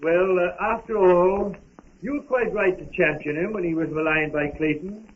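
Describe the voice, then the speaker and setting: Man playing a high-voiced fatherly older gentleman. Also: normal speed, natural voice. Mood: gentle concern. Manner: formal, gently hesitant. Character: kindly, fatherly older family friend, proper and cautious. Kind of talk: 1930s radio acting. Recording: thin, phone-like, hissy 1930s radio recording.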